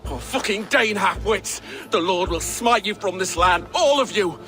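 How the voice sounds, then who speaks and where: Frantic Saxon prisoner, high voice, bright edge, game audio, music behind. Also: male voice, lively intonation, measured pace. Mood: cursing. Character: terrified captured Saxon man, pleading and cursing.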